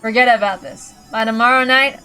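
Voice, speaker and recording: A villainous uncle from a visual novel, played by a woman. He talks casually and calmly, joking with mock kindness while being utterly ruthless. Faint music plays behind him. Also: fairly high pitch, a little fast, clear, resonant tone, rough recording. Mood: cold, casual. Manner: casual, calm, mockingly kind. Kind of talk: dramatic visual novel character reading.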